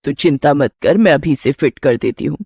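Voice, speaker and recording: A woman voicing a resourceful older mother-in-law in a Hindi cartoon moral tale, calm, confident and affectionate. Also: low voice, moderate pace, creaky, husky, dry recording, pitched below the performer's own voice, heavily acted. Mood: reassuring, confident. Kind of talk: cartoon storybook character voice.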